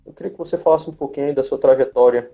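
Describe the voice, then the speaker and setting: A man's voice: medium pitch, racing, dark tone. A man, hosting a casual live chat in an informal, hesitant way on lo-fi audio. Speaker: host, business administrator. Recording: dull lo-fi live stream audio.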